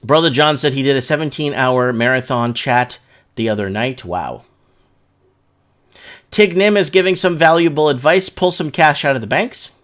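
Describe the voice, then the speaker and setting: A man's voice: mid-range voice, lively intonation, moderate pace, fairly bright tone. A man hosting a casual livestream, talking in an informal, slangy, rambling way as he chats and reads comments and news aloud. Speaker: live show host.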